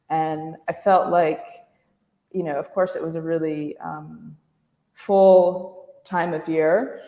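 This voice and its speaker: A woman giving an informal talk as an artist, speaking thoughtfully and haltingly with trailing pauses. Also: sits low. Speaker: visual artist, guest speaker. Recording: echoey reverberant room.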